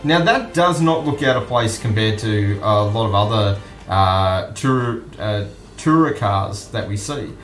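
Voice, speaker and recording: An Australian man hosting an online news stream, commenting casually and off the cuff in a halting, musing way. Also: on the low side, expressive, fairly bright tone. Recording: roomy echo with music behind.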